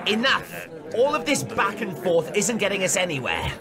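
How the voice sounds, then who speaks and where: Firm soldier in command, very expressive. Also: male voice, high voice, moderate pace, gravelly, crowd noise, heavily acted. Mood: impatient. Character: young male soldier thrust into command, firm, impatient. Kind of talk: fantasy audio drama acting. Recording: loud music under the voice.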